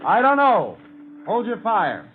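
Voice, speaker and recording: A man playing an urgent detective in 1940s radio style, shouting commands. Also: sits high, huge pitch swings, slow, soft background music, exaggerated voice. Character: urgent male detective shouting orders across a yard. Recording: muffled old radio recording.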